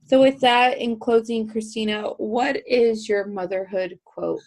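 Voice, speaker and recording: A woman hosting a casual interview show, effusive, warmly thanking and praising her guests. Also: fairly high pitch, steady tone, unhurried. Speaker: podcast host.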